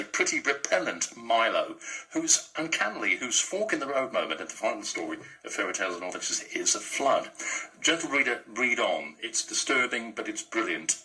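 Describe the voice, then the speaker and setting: A man's voice: on the low side, pushed and bright, slightly rough, low-quality recording. A man presenting a book programme in wordy, florid phrasing. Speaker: host, interviewer. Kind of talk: radio-style book show presenting.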